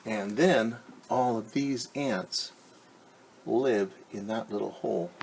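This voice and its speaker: A man teaching young children, reading a picture book aloud and gently asking them questions. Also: on the low side, slow pace, vocal fry, husky, poor audio. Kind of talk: children's story time read-aloud.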